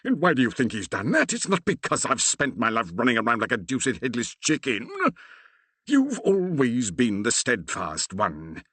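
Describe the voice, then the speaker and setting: A sputtering, indignant old vicar, put on by a male narrator, pitched up from his usual voice with lively, swooping intonation. He drawls out phrases slowly and sounds like a storybook clergyman set a little back from the mic in a dry room. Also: breathy edge, no echo. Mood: blustering. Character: blustering older male country vicar.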